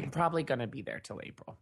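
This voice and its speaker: A man's voice: mid-range voice, lively intonation, brisk, gravelly, husky. A man co-hosting a casual chat show, an easygoing stage performer who laughs easily. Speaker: podcast co-host and stage performer.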